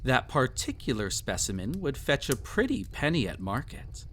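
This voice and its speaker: A man's voice: medium pitch, big pitch swings, a little hiss or hum, natural voice. A man playing a cruel, possessive emperor with a haughty, silky, condescending manner in a scripted roleplay addressed to the listener. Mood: coaxing, haughty. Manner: haughty, silky, condescending, theatrically drawn-out delivery. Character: cruel, possessive, mocking young emperor pampering a captive pet.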